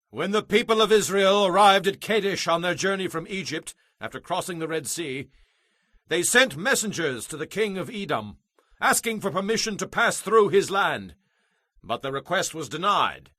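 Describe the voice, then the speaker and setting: An actor playing an indignant warrior commander in an audio Bible drama, declaiming forcefully. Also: male voice, high-pitched, no echo, studio quality. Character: indignant warrior commander arguing his people's case.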